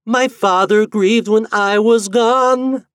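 Enchanted storybook bird, played by a man: high, eerie, drawn-out singsong, proud and mournful. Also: huge pitch swings, darkish tone, breathy edge, no room sound, studio quality, full character voice. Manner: slow, drawn-out singsong verse.

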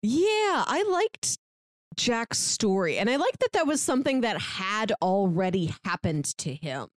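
A woman's voice: medium pitch, sing-song, measured pace, no echo. Woman chatting casually, close dry sound. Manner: informal storytelling peppered with "like". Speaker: podcast speaker discussing books. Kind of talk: casual chat.

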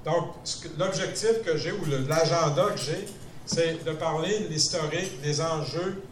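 A man with a Canadian French accent gives an informal presentation, pausing and restarting often. He sits well back from the mic in a reverberant room with a faint hiss behind him. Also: on the high side. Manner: halting, many fillers and restarts. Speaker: director general of a seniors' federation. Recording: echoey room, mic at a distance.

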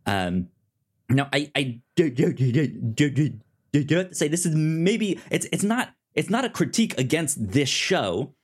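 A man co-hosting a casual review podcast, halting and stammering as he repeats words while he talks. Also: on the high side, big pitch swings, slightly rough. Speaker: podcast co-host reviewing a TV show.

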